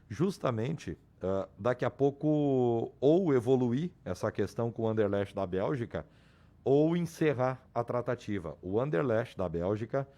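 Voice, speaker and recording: A man with a hoarse voice, reporting club sports news on a radio show in an explanatory way. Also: mid-range voice, dark tone. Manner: explanatory, restarts and repeats names. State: hoarse, losing his voice. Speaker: sports commentator.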